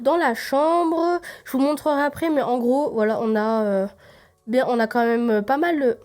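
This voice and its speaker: A young woman with a stuffy, cold-thickened voice chats to her camera as she shows round her home. Her voice sits a little high, and she rambles loosely, with plenty of filler sounds. It is an easygoing, homemade vlog recorded indoors. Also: a little mellow. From France. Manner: rambling, many fillers, gives a tour while tidying. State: congested with a cold. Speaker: vlogger. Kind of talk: casual vlog chat. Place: apartment indoors.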